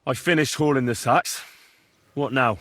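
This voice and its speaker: An actor playing an earnest, polite young male commoner in a video game, with a husky voice. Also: mid-range voice, normal speed, fairly bright tone, a little hiss or hum, natural voice. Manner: polite, plain-spoken. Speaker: player character.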